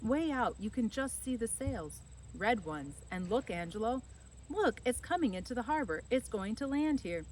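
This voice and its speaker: A woman voicing an eager, cheeky fourteen-year-old boy from a children's storybook, full of excitable questions. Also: a little high, normal speed, recorded from a distance, a little hiss or hum, voice pushed up, close to an everyday voice, low-quality recording. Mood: excited. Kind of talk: children's storybook character reading.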